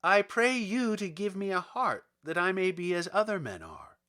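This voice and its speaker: Man as an earnest, high-voiced tin woodman. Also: very animated, moderate pace, sharp tone, full character voice. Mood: earnest pleading.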